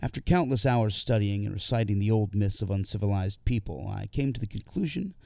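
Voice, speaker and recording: Low, mellow male audiobook narrator. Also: expressive, brisk, gravelly, dry recording, clean studio sound. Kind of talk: scripted first-person literary narration.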